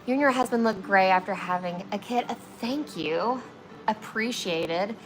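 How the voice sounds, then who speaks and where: A woman's voice: mid-range voice, moderate pace, faint music behind, poor audio. A woman in her twenties, a flower preservation artist hosting a livestream, who chats casually with viewers while she works and fills her talk with "like" and "okay?". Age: 20s. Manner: chatty, filler-heavy, often checks in with "okay?". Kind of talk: casual livestream chat while working, reading viewer comments.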